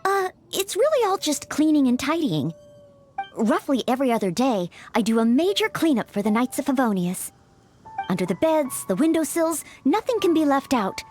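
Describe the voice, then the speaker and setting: An actress playing a polite, eager young maid who dreams of knighthood in a video game, speaking with apologetic hesitance. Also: female voice, high voice, sing-song, normal speed, a little mellow, faint music behind. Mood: earnest, polite.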